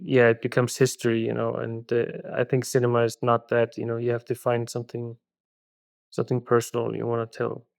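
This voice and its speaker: Smooth-voiced man with an Icelandic first language. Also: medium pitch, monotone, no echo. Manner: thoughtful, halting, many restarts. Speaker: film director guest. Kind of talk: reflective interview answers.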